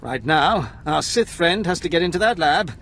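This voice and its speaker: A man's voice: on the high side, animated, moderate pace, a bit bright, a little hiss or hum. A man playing a harried, affable army major in a video game, musing and eager to help in a conversational way. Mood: decisive. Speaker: military officer.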